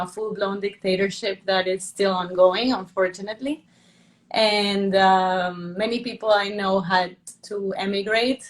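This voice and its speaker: A woman from Venezuela, an art curator talking casually in an interview, thoughtful and full of fillers and restarts. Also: medium pitch.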